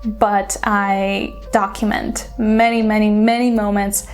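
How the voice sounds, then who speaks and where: A woman's voice: medium pitch, level tone, slow, clear, resonant tone, faint music behind. A woman in her twenties from Poland with a Polish accent, chatting casually to her viewers with frequent "like" fillers. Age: 20s. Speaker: YouTuber. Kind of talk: casual vlog chat.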